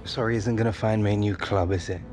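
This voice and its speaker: A man's voice: fairly low pitch, steady tone, quick pace, a little mellow, faint music behind, close to an everyday voice. An actor playing a young male footballer who speaks in a bitter, accusing way. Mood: angry. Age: young adult.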